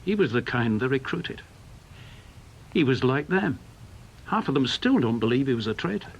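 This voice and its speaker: A man's voice: mid-range voice, very animated, moderate pace, husky, dull audio. An actor playing a bitter, blunt veteran British intelligence man in a radio drama, with an emphatic, scornful manner.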